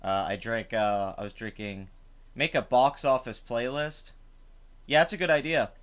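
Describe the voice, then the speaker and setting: Host type: young guy, chatty and rambling, voice rough from the night before, resonant tone. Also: medium pitch, fairly even intonation, normal speed, fairly bright tone. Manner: rambling, chatty, many fillers. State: rough voice after drinking. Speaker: live stream host. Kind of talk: casual live stream commentary. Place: home.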